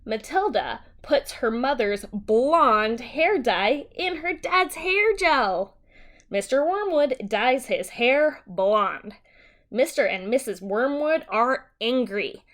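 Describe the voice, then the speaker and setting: A woman teacher reading a simplified children's story aloud and explaining it in a deliberate, simple way for young children. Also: fairly high pitch, expressive, fairly bright tone.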